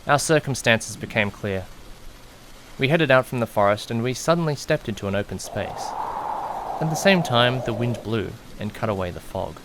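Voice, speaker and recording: A man's voice: mid-range voice, expressive, normal speed, noisy background, lightly acted. A man playing an anxious, easily panicked young man who narrates his own misadventure in an audio drama, dramatic and questioning himself. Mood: tense narration. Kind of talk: first-person audio drama narration with acted dialogue.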